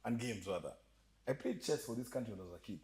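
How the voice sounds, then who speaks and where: A man with a slight Ugandan accent telling stories as a podcast guest, animated and joking, often laughing. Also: mid-range voice, fast. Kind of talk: storytelling interview chat.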